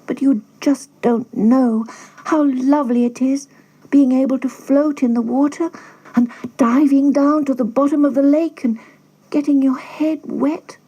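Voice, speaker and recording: A woman's voice: sits high, steady tone, a little slow, mellow, pitched above the performer's own voice, rough recording. A woman voicing a timid, wistful little duckling in a plaintive, earnest storybook style. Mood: earnest, yearning. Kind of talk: storybook character voice.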